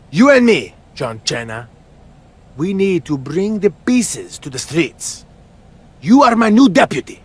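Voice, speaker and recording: A man's voice: sits high, heavily acted. A man voicing a goofy, self-important wrestler who plays policeman in a video game, theatrical and mock-heroic. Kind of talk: video game cutscene acting.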